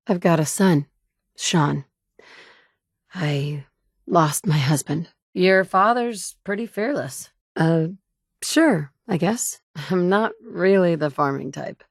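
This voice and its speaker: Determined mother in a game: blunt, dry-witted, lower register, close dry booth read. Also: gravelly, no room sound, full character voice. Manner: dry wit, blunt and curt when annoyed. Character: determined mother searching for her son, wry. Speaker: player character. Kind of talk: game dialogue lines. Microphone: close studio mic.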